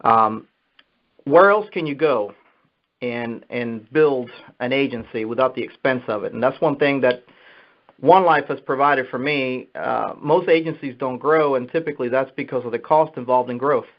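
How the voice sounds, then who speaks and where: Middle-aged male sales manager, mid-range voice, halting and repetitive motivational delivery over a muffled conference line. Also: mid-range voice. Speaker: sales manager giving advice. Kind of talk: motivational business talk on a conference call. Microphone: telephone conference line. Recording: dull, muffled conference phone call.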